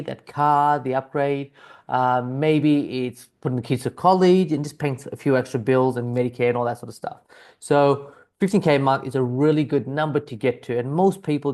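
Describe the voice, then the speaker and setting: A man with an Australian accent, a business coach talking straight to camera in a conversational pitch that repeats key phrases and uses frequent fillers. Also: darkish tone. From South Africa, raised in Australia.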